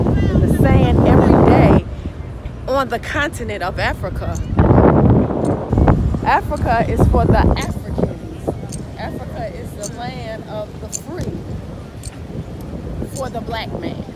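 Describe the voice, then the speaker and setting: A woman vlogging as she walks along an outdoor beach, talking slowly and earnestly into a handheld phone. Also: fairly high pitch. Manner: slow, preaching and earnest. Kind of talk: walking vlog narration. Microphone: phone held while walking. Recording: loud wind noise on phone mic. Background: wind and waves.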